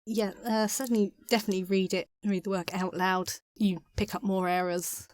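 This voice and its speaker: A woman's voice: mid-range voice, level tone, moderate pace, darkish tone. A woman speaking casually as an author being interviewed on a podcast.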